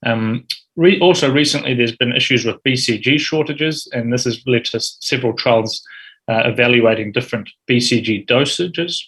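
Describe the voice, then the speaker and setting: A man's voice: mid-range voice, normal speed, a bit bright, husky, rough recording. A man in his thirties with a New Zealand accent, giving an academic medical presentation with frequent ums and uhs. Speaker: urology fellow giving grand rounds talk.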